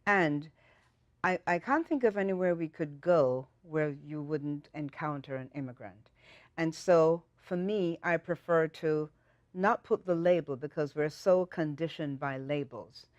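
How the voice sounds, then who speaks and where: A woman's voice: deep voice, a little slow, no echo, dull audio. A middle-aged Jamaican woman from Jamaica, a guest talking in a thoughtful, reflective way on a talk show. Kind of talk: casual chat.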